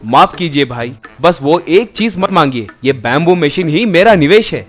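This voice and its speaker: Earnest, high, animated eatery owner played by a man. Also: normal speed, faint music behind. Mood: apologetic. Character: earnest, wounded male roadside eatery owner. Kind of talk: Hindi animated moral story dubbing.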